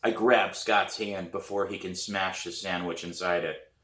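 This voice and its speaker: A man reading a children's novel aloud in an expressive storybook narration style. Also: low-pitched, unhurried. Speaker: author reading own novel. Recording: roomy echo.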